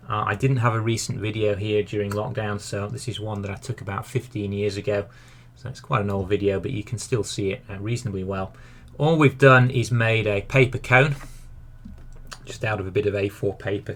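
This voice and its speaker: A man's voice: fairly low pitch, a bit bright. A man narrating a science lesson as a teacher, explaining a demonstration step by step in a didactic way. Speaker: physics teacher.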